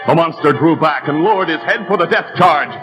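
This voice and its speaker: A man's voice: fairly high pitch, fairly quick, music in the background. A man narrating a 1930s radio adventure drama with a vivid, building delivery.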